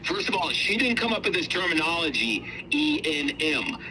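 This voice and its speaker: Man, sharp forceful tone, indignant and repetitive, opinionated co-host chat, some background noise. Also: fairly high pitch, big pitch swings, normal speed, low-quality recording. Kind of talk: casual opinionated commentary.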